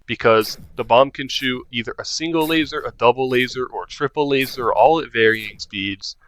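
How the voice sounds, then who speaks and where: A man's voice: mid-range voice, a bit bright. Casual man narrating a game guide. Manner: informal, talking directly to viewers. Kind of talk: casual video game walkthrough commentary.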